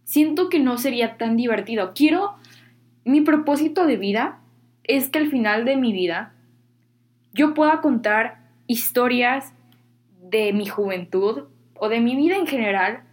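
A woman's voice: fairly high pitch, measured pace. A teenage girl from Mexico with a Mexican accent, talking casually and candidly to her viewers, dropping slang and poking fun at herself. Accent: Mexican Spanish. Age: teens. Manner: chatty, candid, self-deprecating, uses Mexican slang. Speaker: vlogger. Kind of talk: casual talk to camera.

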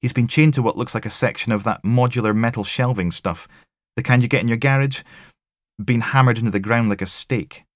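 A man's voice: medium pitch, brisk, no room sound, studio quality, voice pushed up, lightly acted. A man voicing a blunt female forensic technician with a Scottish accent and a wry, matter-of-fact manner, in an audiobook.

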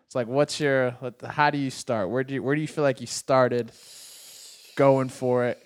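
A man's voice: mid-range voice, fairly even intonation, moderate pace. A man hosting a casual podcast interview, laid-back and joking, asking rambling questions. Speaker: podcast host.

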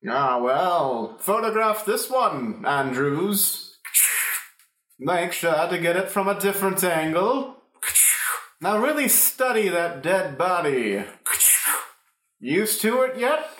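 An old veteran detective in a radio play, acted by a man, musing with dry humour. His voice runs high for a man and swoops widely, in an echoey room. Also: animated, a bit bright, exaggerated voice. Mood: dryly teasing. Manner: musing, humming, drawn-out delivery. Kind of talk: improvised radio play acting.

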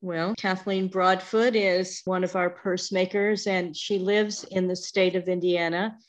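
A woman's voice: on the low side, flat delivery, measured pace. A woman hosting a conversational interview, asking questions and chatting with her guest.

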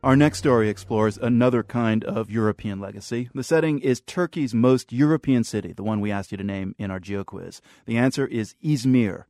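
A man's voice: lowish voice, fairly quick, few pauses, a little mellow, dry recording. A man presenting a radio news program from a script.

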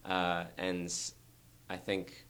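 A young man, a dancer, talking earnestly and thoughtfully in an interview, with a very low voice and frequent restarts. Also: monotone, very slow, a bit bright, smooth. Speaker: dancer guest. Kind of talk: reflective interview talk.

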